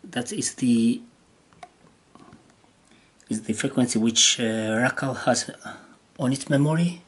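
A man who is not a native English speaker gives a casual, unscripted hands-on demonstration, hesitantly thinking aloud in halting phrases. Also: medium pitch. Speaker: amateur radio hobbyist demonstrating gear. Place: indoors at a wooden table. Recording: clear background noise on handheld camera audio.

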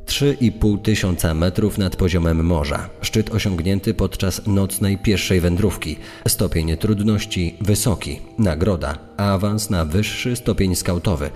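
A man's voice: sits low, unhurried, darkish tone. A man playing a solemn announcer of a challenge, speaking in grave, clipped phrases for a podcast. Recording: long reverb over music.

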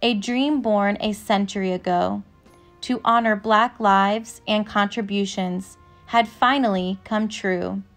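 Slow, measured woman reading a storybook. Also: mid-range voice, fairly even intonation, faint music behind. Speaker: narrator reading a picture book aloud. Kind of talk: storybook read-aloud, scripted narration.

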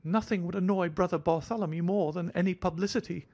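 A man voicing a jittery, fussy little man of about thirty, an anxious hypochondriac with a thin, high voice. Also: steady tone, fast talker, darkish tone, lo-fi sound. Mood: fretful. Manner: fidgety, effusive, fretful.

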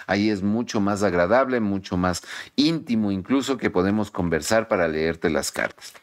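A middle-aged man giving a tarot reading to camera in a home study, speaking in an emphatic, repetitive, encouraging pep-talk manner. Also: lowish voice. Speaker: tarot reader. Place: home study with bookshelves, indoors.

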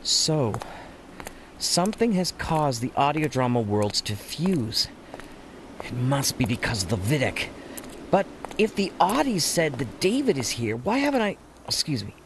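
A man acting an excitable, bewildered fellow in an audio drama. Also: mid-range voice, unhurried, background noise. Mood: puzzled. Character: excitable, bewildered man lost among fused fictional worlds.

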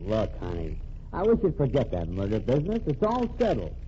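A man's voice: mid-range voice, wildly swooping pitch, fairly quick, warm tone. An actor playing a scheming newspaperman in 1940s radio drama style, glib and patronizing. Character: smooth scheming male newspaperman, falsely friendly. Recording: dull lo-fi old radio recording with faint hiss.

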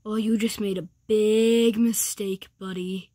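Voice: extremely high pitch, sing-song, a little slow, a bit bright, no room sound, exaggerated voice. A child voicing a big, touchy rainbow sludge monster in a cartoon skit. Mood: menacing.

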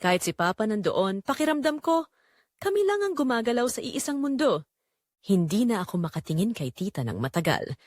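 A woman reading a scripted first-person audio drama in a dramatic, measured way with short pauses. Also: a little high, very animated, darkish tone, dry recording, clean studio sound. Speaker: narrator.

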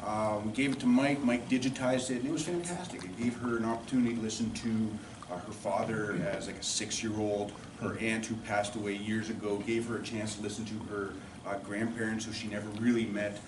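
A middle-aged man giving an informal talk in a halting, rambling way. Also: lowish voice, steady tone. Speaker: co-presenter at a society meeting. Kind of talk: informal, loosely scripted talk. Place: classroom or lecture room, indoors. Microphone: far camera. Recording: echoey, distant, noisy camera recording.

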